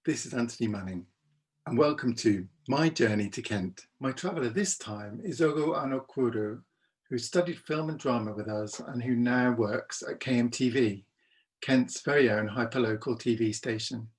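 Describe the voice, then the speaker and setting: A man hosting a conversational interview, putting questions in a pausing way with restarts. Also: mid-range voice. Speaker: podcast host, interviewer.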